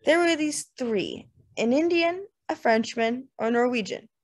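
A woman's voice: high-pitched, animated, measured pace, voice pushed up. Woman playing a laid-back male joke-teller. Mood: joking. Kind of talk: dramatized literary audiobook dialogue.

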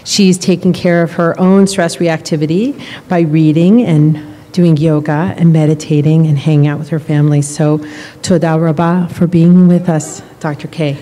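A woman giving a relaxed, chatty introduction of the next speaker at a stand mic. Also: sits low, moderate pace. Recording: reverberant hall.

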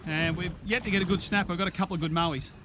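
High-voiced Australian man over loud boat noise. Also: steady tone, racing. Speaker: fishing charter skipper and host. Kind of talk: casual on-the-water fishing commentary. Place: outdoors on a small boat at sea. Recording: noisy open-boat camera audio. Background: boat, wind and water noise.

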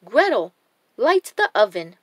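A wicked old witch from a children's storybook, acted out by a woman reader. She speaks with coaxing menace in a lively, dry-sounding delivery. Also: fairly high pitch, measured pace, dry recording. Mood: impatient, commanding.

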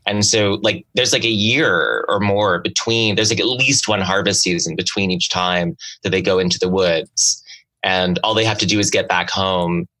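Low-voiced man trading thoughts on poetry. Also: sharp tone. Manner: halting, many restarts and hedges. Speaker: podcast co-host. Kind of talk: casual literary discussion. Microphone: remote video call.

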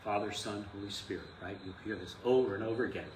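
A man's voice: lowish voice, quick pace, darkish tone. A middle-aged man, a priest giving an informal class, conversational and emphatic, with rhetorical questions and asides. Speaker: Orthodox priest teaching a class. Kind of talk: informal live lecture to a class. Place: small indoor classroom. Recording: echoey room, some noise, mic at a distance.

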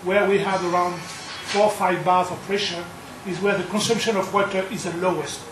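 A man from Europe in his fifties explains his points step by step on a conference panel, with a fairly high voice. The hall adds a roomy echo, he sits away from the mic, and a steady noise runs beneath. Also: normal speed, distant mic. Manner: animated, explaining with points in order. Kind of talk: panel discussion answer. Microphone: table mic, close camera.

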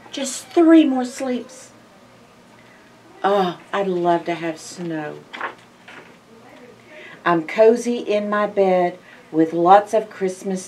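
An older woman reading a picture book aloud to young children in an expressive, drawn-out storytime manner. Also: mid-range voice, far from the mic, low-quality recording. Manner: expressive, drawn-out phrases with hushed asides and questions to listeners. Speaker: library storytime reader. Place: indoor library in front of bookshelves.

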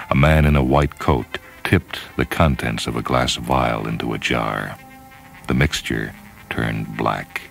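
A man narrating a story in a dramatic, measured, grave manner. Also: a bass voice. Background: music.

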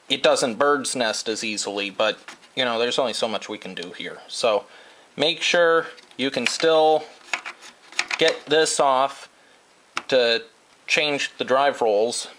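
A man giving a hands-on how-to lesson at a workshop bench, explaining each step slowly and methodically as he works. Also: mid-range voice, fairly bright tone. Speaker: DIY welding instructor.

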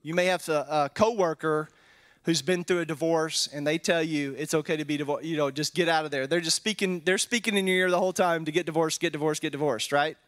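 Texan man, 40s, pastor; easygoing Bible talk on a handheld mic; slightly raised pitch. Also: fairly even intonation, a little fast, a bit bright. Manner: casual, tags statements with "right?", thinks aloud with fillers. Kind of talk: conversational Bible teaching. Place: wood-paneled church hall, indoors. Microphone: handheld microphone.

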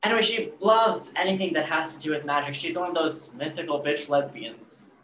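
A woman's voice: sits low, brisk, fairly bright tone. A woman as a flustered suitor in a roomy space. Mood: awkward. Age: young adult. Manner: hesitant, stammering. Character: awkward, smitten young man, easily flustered. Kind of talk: staged play reading. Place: indoor room, video call. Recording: roomy video call, background noise.

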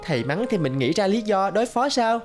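A woman's voice: medium pitch, very animated, fairly quick, music in the background, close to an everyday voice. A woman voicing a sheepish little schoolboy in a cartoon, fretting to himself in a childlike, hesitant way. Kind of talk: cartoon dialogue and inner monologue.

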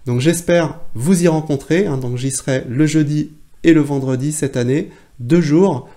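A man's voice: fairly high pitch, moderate pace, dark tone. A man in his forties, a photography trainer, talking casually to camera in a plain indoor room, chatty and full of fillers and restarts. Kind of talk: casual video blog to camera.

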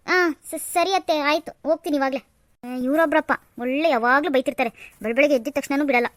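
Woman as a meek, dazed young bride; high, quick, dry. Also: steady tone, no echo, natural voice. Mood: confused. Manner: dazed, pleading. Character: young confused daughter-in-law, meek.

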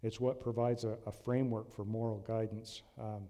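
A man's voice: lowish voice, little pitch movement, mellow. Older man preaching in a reverberant church. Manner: earnest, measured, many restarts and ums. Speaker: lay preacher. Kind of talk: sermon. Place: church sanctuary. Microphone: lectern mic. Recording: long hall reverb in a church.

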